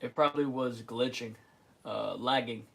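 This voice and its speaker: A man's voice: medium pitch, fairly even intonation, normal speed, creaky. A young man from New Jersey with a New Jersey accent, chatting casually on a livestream, laid-back and slangy with fillers, picked up by a computer mic. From New Jersey, USA. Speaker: artist livestreaming. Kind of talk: casual livestream chat, reading viewer comments.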